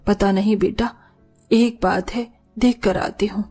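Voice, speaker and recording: A woman voicing a patient, concerned middle-aged mother-in-law who reproaches gently in a moral story. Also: medium pitch, fairly even intonation, a little slow, breathy edge, soft background music. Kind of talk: animated moral story dialogue.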